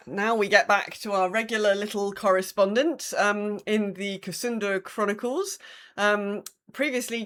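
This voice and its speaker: A woman co-hosting a podcast in casual chat, wry and rambling, with hesitant pauses. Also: mid-range voice, fairly bright tone. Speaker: podcast co-host.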